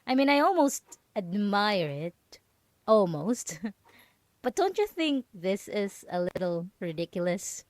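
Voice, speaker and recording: A woman's voice: medium pitch, very animated, slow, no room sound. A woman playing a possessive, mocking yandere roommate in an ASMR roleplay, teasing and condescending as she lingers on her words. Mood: condescending, taunting. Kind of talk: dramatic ASMR roleplay monologue.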